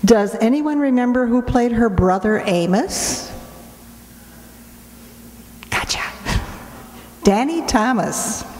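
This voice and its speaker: Female presenter, slightly high voice, slow scripted introduction. Echoey hall. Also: expressive, darkish tone. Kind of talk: scripted introduction to a live audience. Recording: echoey hall, long reverb, background noise.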